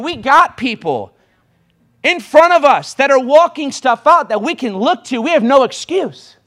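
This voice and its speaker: A man. His voice sits very high for a man, and he drives his points hard, racing ahead and then punching words out louder. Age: 20s. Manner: impassioned, rapid exhortation with sudden bursts of volume. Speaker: preacher. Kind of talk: sermon.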